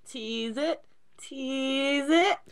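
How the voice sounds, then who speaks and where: Female co-reader, high voice, casual amateur character lines and chat. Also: extremely slow. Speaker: podcast co-reader.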